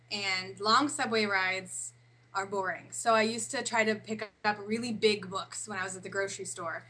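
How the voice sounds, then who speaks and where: A woman in her forties, a guest on an interview, telling a lively story and laughing at herself. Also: medium pitch. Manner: animated storyteller, laughs through her own anecdotes. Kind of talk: casual interview chat.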